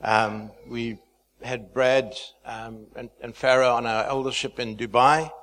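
A man in his fifties from Zimbabwe, a pastor speaking to a church, chatty and halting, with many fillers and restarts. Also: medium pitch, fairly even intonation, a bit bright. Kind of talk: sermon introduction.

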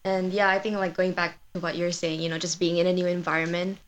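Lowish-voiced young woman, noisy background. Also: level tone, fast talker, dull audio. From Malaysia. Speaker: student filmmaker guest. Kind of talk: casual chat.

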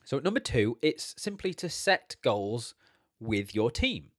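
A man hosts a business podcast with a slight croak from a cold. He sounds upbeat and encouraging, and his pitch moves around a lot. The sound is dry and close, with no room echo. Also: big pitch swings, unhurried. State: hoarse from a cold. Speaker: podcast host. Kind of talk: solo business podcast talk.

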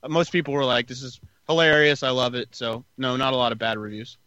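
A man co-hosting a casual movie review chat, reading out scores and reviews with halting fillers. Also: medium pitch, fairly even intonation, pushed and bright, clear, resonant tone, dull audio. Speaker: podcast co-host.

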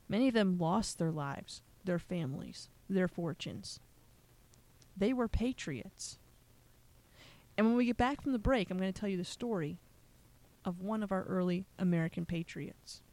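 A radio host with a medium-pitched voice reads scripted history narration. The delivery is earnest and deliberate, shaped like storytelling. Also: darkish tone.

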